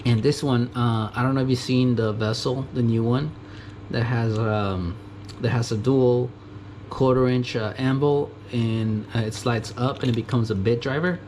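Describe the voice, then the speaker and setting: Casual guy from a mexican family showing off his tools, ums and restarts, camera mic with loud ac hum behind. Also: medium pitch, steady tone, moderate pace. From Mexican family, born in the US. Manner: rambling, many fillers, self-correcting, chuckles. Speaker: tool vlogger. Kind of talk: casual show-and-tell. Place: junkyard tool talk recorded in Japan. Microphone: GoPro camera mic. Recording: noisy camera audio, air conditioning hum.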